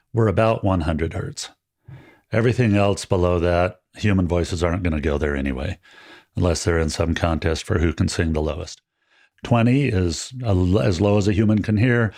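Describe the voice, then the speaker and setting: A man with a fairly deep voice hosting a friendly step-by-step audio tutorial, explaining in a relaxed, chatty way with small jokes, recorded on a dynamic mic with no EQ. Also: moderate pace. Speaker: audio gear tutorial host. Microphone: dynamic handheld-style studio mic, no EQ.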